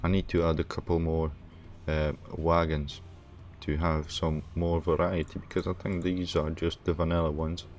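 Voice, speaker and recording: A man from Romania hosting a casual gaming livestream, thinking aloud in a halting, hesitant way. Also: low-pitched, flat delivery, a little mellow, faint music behind. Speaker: livestream host playing a game.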